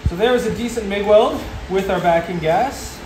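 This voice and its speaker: Relaxed man teaching in an echoey workshop. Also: high-pitched, normal speed. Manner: relaxed, plain-spoken, explains step by step. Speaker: welding instructor. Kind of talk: casual demonstration lesson. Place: welding workshop, indoors. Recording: echoey workshop with background noise.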